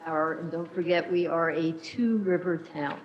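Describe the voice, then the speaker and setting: A woman on the council introduces a guest with upbeat enthusiasm. Her voice sits somewhat low. The recording sounds dull and lo-fi. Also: fairly even intonation, normal speed, a little hiss or hum.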